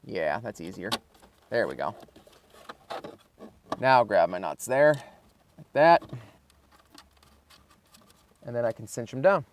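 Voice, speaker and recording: A man's voice: lowish voice, big pitch swings, slow, pauses often, a little hiss or hum. Casual man explaining an install. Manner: informal, thinking aloud while working. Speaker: host of a car installation how-to channel. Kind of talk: casual hands-on tutorial, talking through the work.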